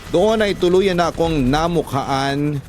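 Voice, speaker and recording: A man with a Filipino accent narrating a scripted story as a radio drama host, in an emotive, deliberate storytelling delivery. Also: on the high side, a little hiss or hum. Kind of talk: scripted dramatic narration of letter-sender stories. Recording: voice over background music. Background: music.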